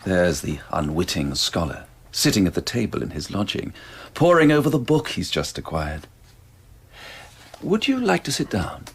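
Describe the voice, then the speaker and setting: A polite, enigmatic dealer in old books for an audio drama. His voice is husky and swings widely in pitch. He speaks in measured, cryptic, old-fashioned phrases with a quiet menace. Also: very animated, heavily acted. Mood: brooding, cryptic. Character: courteous, enigmatic male antiquarian bookseller, quietly sinister.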